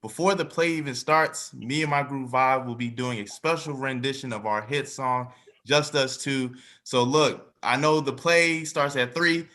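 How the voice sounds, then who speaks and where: A man with a bright, forceful voice at medium pitch. He talks casually as a performer and guest, promoting an upcoming show. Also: measured pace. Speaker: singer and cast member, interview guest.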